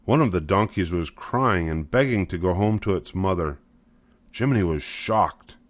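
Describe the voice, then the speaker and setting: A man's voice: deep voice, moderate pace, dry recording. An older man narrating a children's storybook from a script.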